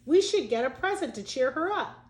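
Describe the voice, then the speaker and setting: A woman's voice: sits high, animated, a little fast, pitched above the performer's own voice. A woman voicing eager, earnest young schoolchildren in a storybook read aloud. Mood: eager, caring. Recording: echoey room.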